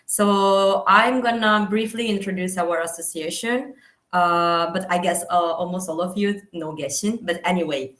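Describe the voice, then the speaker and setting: A woman's voice: on the low side, normal speed, a bit bright. Hesitant young woman in an echoey room. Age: young adult. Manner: hesitant, many fillers. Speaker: student association president. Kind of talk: event introduction.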